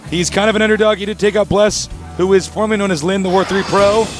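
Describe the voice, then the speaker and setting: A young man calls a live esports match from a packed studio, his voice sitting fairly high for a man. He hypes the players like a ring announcer and keeps tossing jokes to his co-caster. Faint music plays underneath. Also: fairly even intonation, fast talker. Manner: hyped, joking, announcer-like. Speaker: esports commentator. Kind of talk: live play-by-play commentary.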